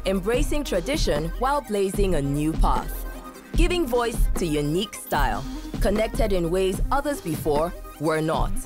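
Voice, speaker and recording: A woman giving polished, expressive scripted narration for a documentary. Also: medium pitch, normal speed, over music.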